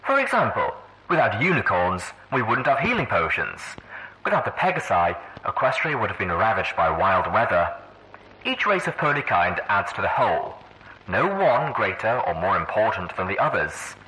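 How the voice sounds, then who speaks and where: A man acts as a young stallion orator in an audiobook. He argues with conviction in a low, forceful voice over a faintly noisy audio log. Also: big pitch swings, normal speed. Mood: earnest conviction. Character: young male pony leader, idealistic orator. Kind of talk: audiobook debate speech.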